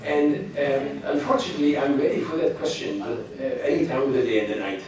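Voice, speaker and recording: An older man giving a talk to an audience, halting and opinionated, with many restarts. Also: mid-range voice, expressive, crowd noise. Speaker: guest speaker. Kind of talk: public talk with audience questions. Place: hall with audience. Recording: echoey hall from far off.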